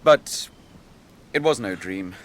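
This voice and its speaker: An actor playing a grave, noble young captain grieving his brother, in measured, archaic speech for a fantasy radio drama. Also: low voice, lively intonation. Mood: grieving, brooding.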